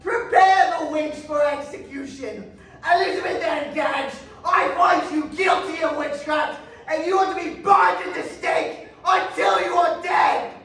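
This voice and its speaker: A woman's voice: extremely high pitch, fairly bright tone, raised voice, a faint crowd, full character voice. A woman playing a merciless, gleeful witch-trial accuser in a theatrical stage performance. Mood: triumphant. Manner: theatrical, slow, pronouncing. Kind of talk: readers theatre stage acting. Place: large echoey indoor room, distant mic. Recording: very echoey hall from far off.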